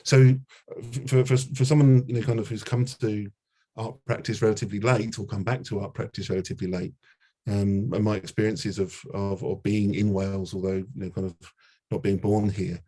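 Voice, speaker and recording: Man, very low voice, monotone, hesitant, artist talk. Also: normal speed. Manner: hesitant, many fillers and restarts. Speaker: artist presenting his work. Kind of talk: panel talk at an art gallery. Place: gallery talk before a live audience.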